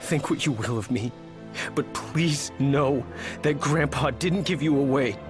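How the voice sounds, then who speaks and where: Casting: humble game youth, medium-pitched, earnest and deferential, burdened man acting it out, music behind. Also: a little slow, close to an everyday voice. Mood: regretful, earnest. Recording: music under the dialogue.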